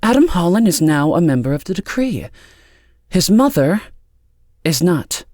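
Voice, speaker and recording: A man's voice: sits high, very animated, measured pace, dark tone, no echo, studio quality, voice pushed up. A man voicing a stern, disdainful woman in authority for an audiobook, speaking in a clipped, impatient and condescending way. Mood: cool, strict.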